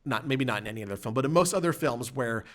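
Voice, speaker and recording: Man casually talking movies, halting and rambling. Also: mid-range voice, expressive. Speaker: podcast host. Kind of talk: casual film discussion, recapping and reviewing scenes.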